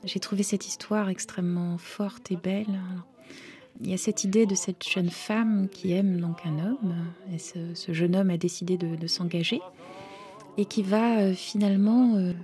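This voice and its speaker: A novelist, a woman whose first language is Romanian, answering interview questions thoughtfully with many pauses and fillers. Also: medium pitch, steady tone, warm tone. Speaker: novelist guest.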